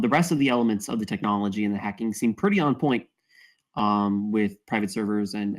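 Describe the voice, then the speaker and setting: A man giving wordy, measured feedback in a casual group discussion. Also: on the low side. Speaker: table read participant giving feedback.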